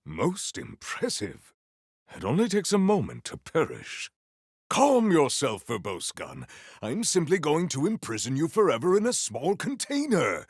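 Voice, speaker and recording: An actor playing a noble, earnest warrior hero in a video game, speaking in a pompous, formal and grandiose way. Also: male voice, fairly high pitch, huge pitch swings, unhurried, breathy edge, no room sound, clean studio sound, exaggerated voice. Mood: grand, defiant. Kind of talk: video game character voice acting.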